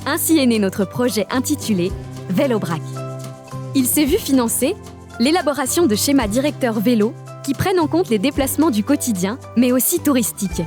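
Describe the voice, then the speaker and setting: A woman from a regional nature park staff, giving upbeat scripted narration for an animated explainer. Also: high voice, faint music behind. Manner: upbeat, informative, lists facts briskly.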